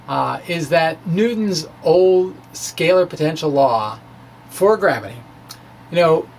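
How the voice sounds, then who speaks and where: A man with a fairly high voice presents his science blog informally. He moves haltingly, pausing mid-phrase, with a playful, wry edge. A light layer of background noise sits under the recording. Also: gravelly. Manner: wry, playful, chuckles at own asides. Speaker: amateur physicist presenting own science blog. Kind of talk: dramatic reading of a physics blog, informal explaining.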